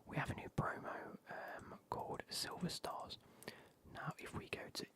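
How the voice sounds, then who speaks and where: A man's voice: moderate pace, pushed and bright. A man chatting in a whisper while streaming a game, with a cold coming on. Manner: whispered, chatty, repeats phrases. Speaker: gaming streamer. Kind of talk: whispered ASMR gaming livestream.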